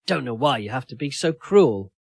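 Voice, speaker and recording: A man's voice: medium pitch, lively intonation, moderate pace, creaky, darkish tone, husky, no room sound, clean studio sound. Man reading as a hurt, reproachful woman. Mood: bitter, hurt. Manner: reproachful, emotionally pleading. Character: Steph, hurt, accusing young woman in a failing relationship.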